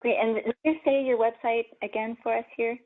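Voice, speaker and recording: A woman's voice: on the high side, steady tone, a little fast, phone-quality sound. A woman hosting an online interview, gracious and encouraging with her guests. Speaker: host, leads a vegan spirituality group.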